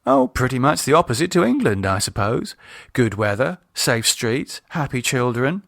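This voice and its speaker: A man's voice: medium pitch, sing-song, moderate pace, vocal fry, a bit bright, no echo, studio quality. A man voicing a confident, breezy English woman, a teasing sister, in an audiobook. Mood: breezy, nostalgic. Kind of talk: audiobook character acting.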